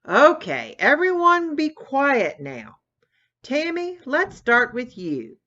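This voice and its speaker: A woman voicing a calm, patient mother turtle in a storybook, gentle but firm. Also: high voice, slow pace, lo-fi sound, pitched above the performer's own voice, exaggerated voice.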